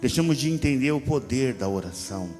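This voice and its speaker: Brazilian pastor preaching in a reverberant hall. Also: male voice, mid-range voice, animated, a little fast, a little mellow. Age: 50s. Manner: emphatic, repetitive, checks in with listeners using rhetorical questions. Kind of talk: sermon, conversational exhortation to a congregation. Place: church hall. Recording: reverberant hall with music underneath. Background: music.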